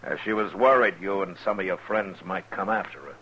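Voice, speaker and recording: A steady US marshal of the Old West in a 1950s radio western. He asks terse questions with dry, sardonic wit. The old recording is dull and boxed in. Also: low-pitched, fairly quick, breathy edge, heavily acted. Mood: calm, matter-of-fact.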